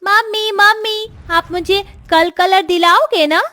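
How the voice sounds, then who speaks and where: A sweet little schoolgirl from a cartoon moral tale. Her childlike voice sits extremely high and she speaks slowly, pleading with her parents. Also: fairly even intonation, sharp tone, full character voice. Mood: eager. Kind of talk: Hindi cartoon story voice acting.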